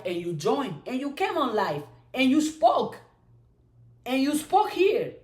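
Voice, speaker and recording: A woman's voice: fairly high pitch, reverberant. A woman hosting a motivational live stream, chanting repeated affirmations and inviting viewers to join and ask questions. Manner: bursts into excited cheering.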